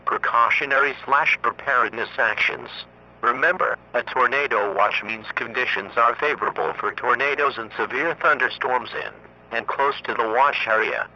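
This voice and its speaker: A man reading scripted emergency weather warnings in a formal, monotone synthetic voice. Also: mid-range voice, normal speed. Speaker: emergency alert announcer. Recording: muffled lo-fi radio broadcast, faint noise.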